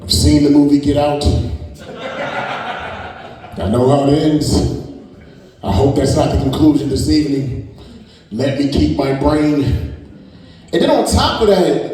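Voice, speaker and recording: Man in his 40s, stand-up comic, brash and crude, high voice, hall reverb, sounds far from the mic. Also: a little slow, long pauses. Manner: brash, crude, riffing with the crowd. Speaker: stand-up comedian. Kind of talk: stand-up set. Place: comedy venue stage. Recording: echoey hall, mic off at a distance, loud crowd. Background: audience laughter.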